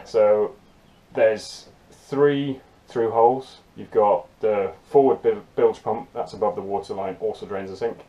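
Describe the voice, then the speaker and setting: A man's voice: on the low side, fairly even intonation, unhurried, dark tone, muffled recording. A man in his forties giving a casual, matter-of-fact walkthrough of a small yacht's cabin, filmed on a close handheld camera in a cramped space. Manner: matter-of-fact, practical, with frequent ums. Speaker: sailor giving a boat tour. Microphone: handheld action camera close up. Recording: close camera mic with handling clicks and noise.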